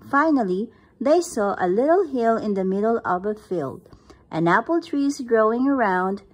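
A woman's voice: on the high side, lively intonation, moderate pace, breathy edge, low-quality recording. A woman reading a picture book aloud at home, recorded on a phone camera above the book. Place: indoors, at home.

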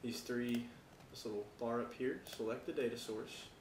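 An American man giving a casual software tutorial, talking informally and thinking aloud as he works. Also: mid-range voice, steady tone, normal speed, reverberant, a little hiss or hum, low-quality recording. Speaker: tutorial presenter.

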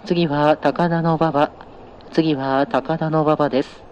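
A man's voice: a little high, level tone, slow, a little mellow, muffled recording, slight background noise. A man working as a train conductor, making formal, polite announcements to passengers over the train's PA.

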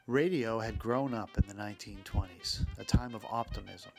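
A man narrating a scripted documentary podcast in a measured way, with dramatic pauses. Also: lowish voice, vocal fry, a little mellow. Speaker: podcast host. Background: music.

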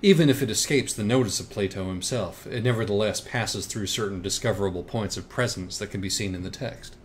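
A man voices an insecure, cerebral young writer in an audiobook dialogue. His voice sits a little low, and his delivery is wry, hesitant and self-loathing. Faint noise runs behind it. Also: fairly quick, natural voice. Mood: wry, defensive.

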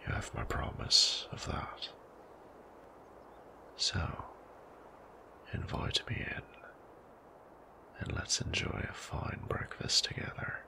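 A man voicing a sly ancient male vampire who teases and threatens in a slow, silky, creaky whisper for an ASMR audio roleplay. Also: a bass voice, sharp tone, voice pushed down, exaggerated voice. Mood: soothing.

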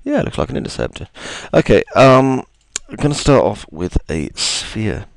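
A man with a gravelly, deep voice, chatting his way through a software tutorial with plenty of ums and asides. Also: sing-song, normal speed, no echo. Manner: chatty, self-deprecating, thinks aloud with ums. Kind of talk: screen-recorded software tutorial.

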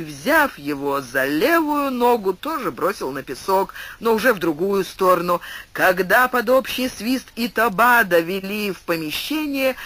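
Lively, bright-voiced male audiobook narrator. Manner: dramatic storytelling with wide swings in pitch and loudness. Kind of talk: expressive literary narration.

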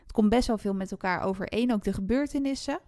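A woman's voice: medium pitch, fast talker, mellow, no room sound, studio quality. A woman in a casual, enthusiastic book discussion, speaking as a podcast host.

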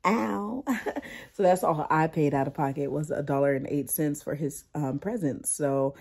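A woman in her thirties who is sick and losing her voice, chatting casually to her vlog audience about her kids in an animated, talky way. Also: low voice, moderate pace, creaky, breathy edge. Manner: chatty, animated storytelling with "like" and "y'all". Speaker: vlogger, mother. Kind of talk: casual chat to camera.

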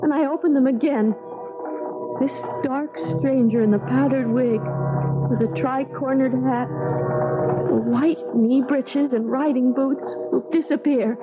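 An actress playing a feisty, bewildered young modern bride in a 1970s radio drama, blunt and plain-spoken. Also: female voice, sits high, fairly even intonation, a little slow, phone-quality sound. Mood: dazed, inward. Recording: band-limited 1970s radio broadcast, music behind.

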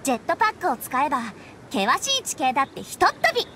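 An actress playing a friendly, caring young girl guide in an anime game, speaking casually and giving gentle instructions. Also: sits high, very animated, quick pace, noisy background. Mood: caring, helpful. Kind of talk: Japanese anime game dub acting. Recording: game music behind.

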